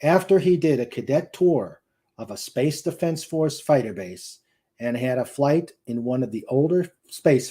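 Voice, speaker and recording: A man narrates a novel in a mellow, middle-pitched voice. His reading is emphatic and swings widely in pitch, with occasional stumbles over words. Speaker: host and narrator.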